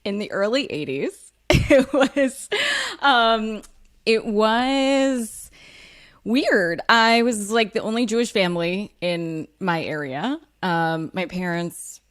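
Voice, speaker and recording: A woman, talking candidly as an interview guest, frank and self-deprecating with lots of fillers and quick laughs. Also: mid-range voice, no room sound, studio quality. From United States. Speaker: author and guest.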